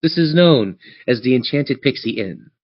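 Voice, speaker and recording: A man with a mid-pitched voice runs a fantasy tabletop game as game master. He narrates scenes with drama, recorded close and dry with slightly dulled sound. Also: lively intonation, moderate pace, no room sound, muffled recording.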